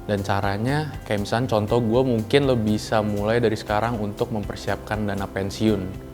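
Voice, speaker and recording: A man's voice: lowish voice, steady tone, moderate pace, over music. A man in his mid twenties giving casual, colloquial opinions in an interview. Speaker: campaign officer being interviewed.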